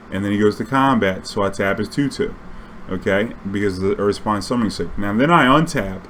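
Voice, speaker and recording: Slangy man storytelling over background noise. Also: on the low side. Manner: slangy, swearing, animated retelling with acted-out dialogue. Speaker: storyteller recounting his own tournament games. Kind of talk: casual spoken anecdote.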